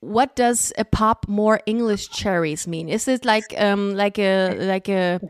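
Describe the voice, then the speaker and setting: A German woman with a German accent hosting a podcast in English, her second language, speaking in a halting, giggly way. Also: mid-range voice, clear, resonant tone, no room sound, studio quality. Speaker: podcast host. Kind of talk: casual interview.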